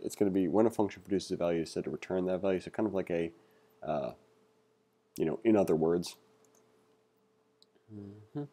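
A man with a lowish, mellow voice leads a casual read-along coding lesson. He talks in a relaxed, easygoing way and thinks out loud with plenty of fillers. He sounds like he is recording a voice-over at his desk. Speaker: coding tutor.

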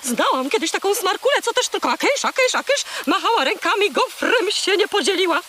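An actress voicing a brash, haughty talking seagull who scolds indignantly in a children's audio drama. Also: female voice, very high voice, big pitch swings, a little fast, a little hiss or hum, heavily acted. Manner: indignant, sharp-tongued scolding.

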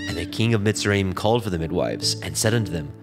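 A man narrating scripture from a script in a solemn, measured way. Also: sits low. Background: music.

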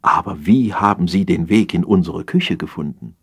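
A man's voice: low voice, moderate pace, breathy edge. A man as a dry, exasperated wife. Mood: puzzled. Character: narrator's wife, practical, resigned, exasperated woman.

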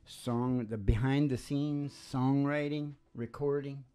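A man's voice: medium pitch, steady tone, slow, mellow, smooth. A middle-aged man hosting a country music show, chatting casually in a folksy, rambling, joking way at a stand microphone. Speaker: host and singer of a country music radio show. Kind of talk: casual on-stage banter between songs. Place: small indoor wood-panelled room. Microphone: stand microphone close to the mouth.

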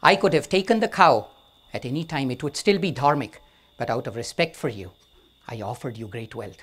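A man's voice: mid-range voice, animated, normal speed, slightly rough. A man voicing a proud bargaining king. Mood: angry. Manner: demanding, bargaining, commanding. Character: proud ambitious ancient king, male.

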